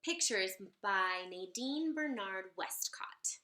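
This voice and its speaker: A woman reading a children's picture book aloud in an expressive, theatrical sing-song way. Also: medium pitch, takes it slowly. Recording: roomy, echoey sound.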